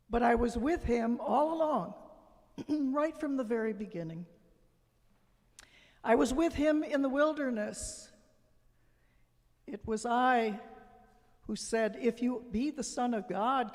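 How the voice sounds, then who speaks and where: Slow, relentless spirit of Doubt, played by a church reader in reverb. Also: mid-range voice, a little mellow, lightly acted. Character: tempting spirit of doubt, scornful, patient, relentless. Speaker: dramatic reader at a church service. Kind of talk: dramatic monologue. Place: church sanctuary.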